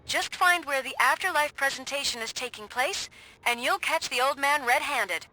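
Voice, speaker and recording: An actress playing a tough woman police detective, talking in a brisk, punchy, outspoken way. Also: high voice, bright, forceful tone, no echo, low-quality recording. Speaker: police officer, the hero's partner.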